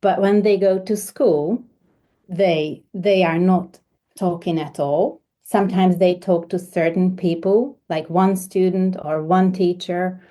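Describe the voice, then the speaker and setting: Slow, soft-spoken woman from Hungary. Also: fairly low pitch, fairly even intonation. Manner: hesitant, many repeated words and fillers. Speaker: homeopath guest. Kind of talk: conversational interview.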